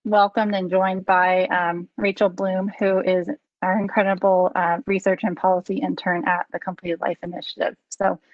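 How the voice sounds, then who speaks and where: A woman moderating a webinar panel over a video call, introducing guests with frequent ums and pauses. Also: lowish voice, flat delivery, no room sound, lo-fi sound. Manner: hesitant, many ums. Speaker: program director, panel moderator.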